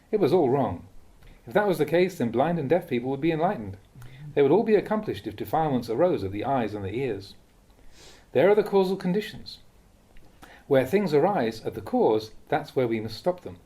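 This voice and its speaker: Guy reading a buddhist talk, mellow relaxed voice, lots of up and down in pitch, thoughtful and measured. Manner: measured, contemplative, with rhetorical questions. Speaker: Buddhist monk reading recorded teachings. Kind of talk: read-aloud dharma talk.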